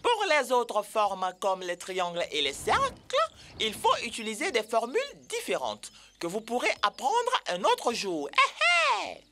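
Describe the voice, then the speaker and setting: A woman voicing an excitable motherly bird teacher in a French children's cartoon, exclamatory and sing-song with drawn-out words. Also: a little high, slight background noise, exaggerated voice. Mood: delighted.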